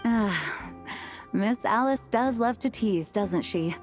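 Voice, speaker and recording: A woman voicing an earnest, courteous young leader in a video game, gentle and thoughtful in manner. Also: medium pitch, animated, takes it slowly, soft background music. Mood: curious. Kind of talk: video game character acting.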